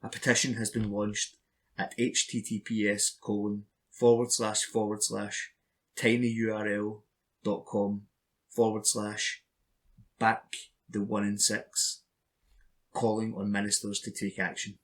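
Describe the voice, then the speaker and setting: A man's voice: fairly low pitch, level tone, takes it slowly. A man reading scripted news reports as a volunteer for an audio newspaper. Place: at home.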